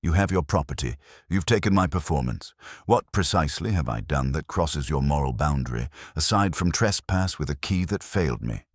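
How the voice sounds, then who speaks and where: A man's voice: extremely low pitch, lively intonation, moderate pace, dry recording, clean studio sound. A man voicing a smug, pretentious artist who justifies himself with theatrical, polished phrasing. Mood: defiant. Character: smug, pretentious male artist defending his schemes.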